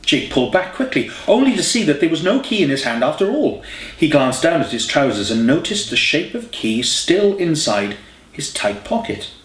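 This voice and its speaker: A man's voice: fairly high pitch, big pitch swings, normal speed. Man narrating an audiobook in an echoey room. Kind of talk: scripted story narration. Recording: roomy, echoey home recording.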